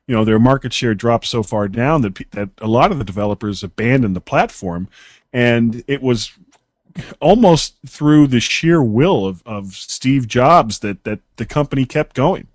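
A man of middle pitch chats as co-host of a tech podcast. He is easygoing and joking, and often hesitates and restarts. His voice sounds dry and close to the mic. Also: animated, moderate pace, no echo. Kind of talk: casual tech podcast chat.

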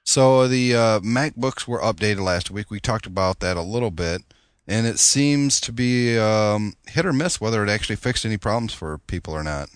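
Man, low-pitched, strained worn voice, casual podcast host, dry sound. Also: moderate pace, smooth, dry recording. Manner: relaxed, chatty, with fillers and restarts. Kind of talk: casual tech podcast chat. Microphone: lower-grade home mic.

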